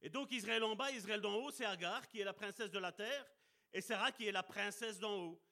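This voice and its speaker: A man preaching a sermon with passion, slowing down to stress key words and calling out to his brothers and sisters. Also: high voice, pushed and bright. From Italian heritage. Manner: impassioned, addresses congregation as brother and sister.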